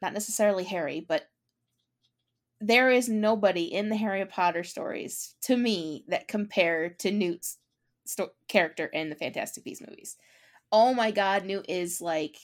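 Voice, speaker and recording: Woman podcast host, excited and gushy, restarts a lot mid sentence. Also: mid-range voice. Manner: enthusiastic, gushing, quick restarts. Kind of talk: casual chat.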